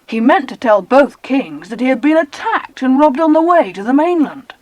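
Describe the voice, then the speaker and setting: A woman voicing well-spoken, eager British children in a dramatized adventure audiobook, with expressive storytelling. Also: sits high, moderate pace, no room sound, dull audio.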